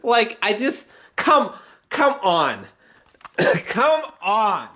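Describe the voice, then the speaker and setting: A man talking off the cuff in a casual film review vlog, excitable and emphatic, rambling, repeating himself and swearing often. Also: sits high. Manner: rambling, halting, frequent swearing. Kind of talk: unscripted, casual movie reaction talk.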